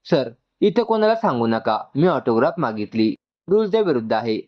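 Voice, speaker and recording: A man's voice: mid-range voice, sing-song, moderate pace, dull audio, close to an everyday voice. A man voicing a polite, cautioning female hospital nurse in a narrated story. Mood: starstruck, confiding.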